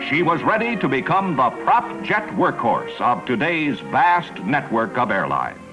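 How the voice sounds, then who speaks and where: A man's voice: mid-range voice, sing-song, unhurried, rough recording. An American man narrating a scripted 1950s promotional newsreel in a polished, declarative announcer style. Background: music bed.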